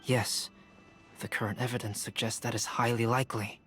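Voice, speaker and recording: An actor playing a composed, courteous investigator in a video game, speaking in a deliberate, analytical manner. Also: male voice, mid-range voice, level tone, a bit bright, faint music behind, lightly acted. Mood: measured. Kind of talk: video game character acting.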